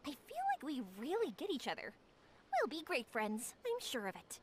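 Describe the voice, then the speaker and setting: An actress voicing a sunny, warm-hearted young woman who makes fireworks, friendly and gushing. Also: high-pitched, wildly swooping pitch, normal speed, background noise. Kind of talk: game cutscene voice acting.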